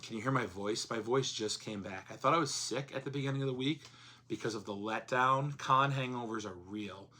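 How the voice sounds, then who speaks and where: A man with a hoarse voice chatting casually in a vlog, rambling with plenty of fillers. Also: mid-range voice, brisk, sharp tone. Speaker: comics vlogger.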